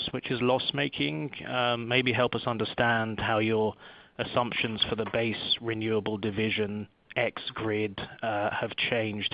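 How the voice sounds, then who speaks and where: A man calling in as a financial analyst, asking a question with polite, tentative phrasing. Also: on the low side. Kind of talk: questioning on a business call. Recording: dry, slightly dull phone connection.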